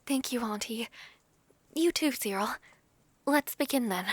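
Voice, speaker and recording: Woman playing a high-voiced, gentle young dragon noblewoman. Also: expressive, a little slow, slightly rough, dry recording. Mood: earnest, curious. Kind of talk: fantasy audio drama acting.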